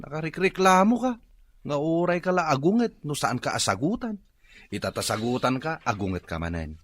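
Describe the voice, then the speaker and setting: Worked-up husband selling fish, comic radio drama, highish voice that swings all over, lots of whining. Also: male voice, sing-song, a little fast. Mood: sweet, then sulky. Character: excitable hard-pressed husband Berto, a tilapia fish vendor.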